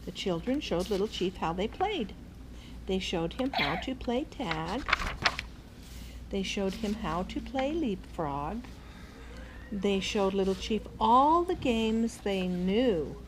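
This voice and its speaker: An older woman reading a children's bedtime story aloud as a grandmother, in an expressive way, on a noisy recording. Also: mid-range voice, slow.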